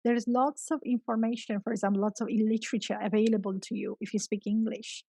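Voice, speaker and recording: A middle-aged woman speaks as a guest teacher in a conversational interview. Her medium-pitched voice has a gravelly creak, and she stresses and repeats her key points. The sound is dry and close. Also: level tone, fast talker, mellow, no echo. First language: Georgian. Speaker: guest, experienced English teacher.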